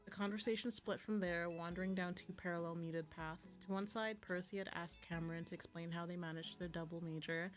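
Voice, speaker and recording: A woman's voice: on the low side, fairly even intonation, a little fast, hardly any pauses. A woman playing a visual novel, reading it aloud and reacting in a casual, slangy, unpolished way. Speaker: video game streamer. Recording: music playing behind the voice. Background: game music.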